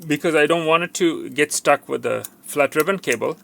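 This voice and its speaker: A man's voice: on the high side, normal speed, creaky, fairly bright tone. A man giving an unscripted hands-on tutorial as a repair technician, instructive and cautioning, often repeating himself for emphasis.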